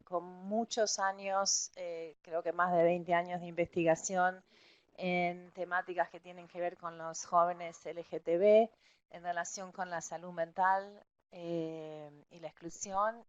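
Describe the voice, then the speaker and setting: A woman moderates an academic panel, relaxed and hesitating as she introduces a speaker. Her voice is a little low. The sound is dry and slightly muffled. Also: no room sound.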